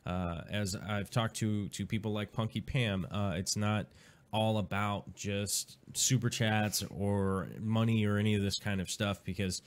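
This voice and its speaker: Low-voiced guy rambling on his live stream, lots of ums and uhs. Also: fairly even intonation, a little slow, smooth. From Pacific Northwest, USA. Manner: rambling, many fillers and restarts. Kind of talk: casual live stream chat.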